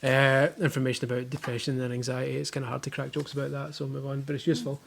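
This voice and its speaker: A man's voice: medium pitch, monotone, very fast, gravelly, a bit bright, slight background noise. A young Scottish man chatting casually at home as he unboxes, with dry jokey asides. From Scotland. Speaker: vlogger unboxing a baby box. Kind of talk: casual chat. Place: living room at home.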